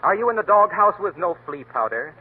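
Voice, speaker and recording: A man's voice: high voice, big pitch swings, a little fast, husky. A man announcing a 1930s radio show and reading its commercial, emphatic and salesman-like. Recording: tinny lo-fi old radio broadcast, faint hiss.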